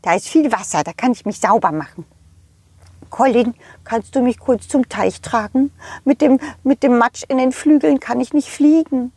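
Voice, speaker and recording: A woman voicing a small, excitable male bird who peeps and stammers in a children's audio play. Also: on the high side, normal speed, husky, no echo. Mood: cheerful. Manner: chirpy, interjects peeps and stammers.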